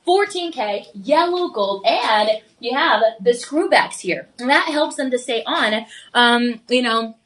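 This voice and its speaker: Woman, shopping channel host, slightly high voice, congested, chatty live sales pitch, a cough now and then. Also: expressive, slow pace, fairly bright tone. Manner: chatty, upbeat, reads viewer comments aloud.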